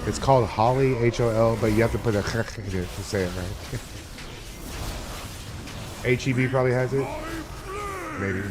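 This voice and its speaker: A man's voice: medium pitch, normal speed, long pauses, background noise. Foul-mouthed rambling male streamer. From Miami, USA. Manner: profane, rambling, chatty. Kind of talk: casual live-stream chat while gaming. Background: game music.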